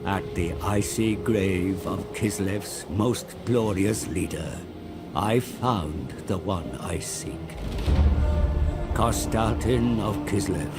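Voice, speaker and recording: An actor playing a mysterious, scheming advisor who narrates a video game cinematic in a grave, formal, deliberate manner. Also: male voice, sits low, loud music behind the voice. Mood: portentous.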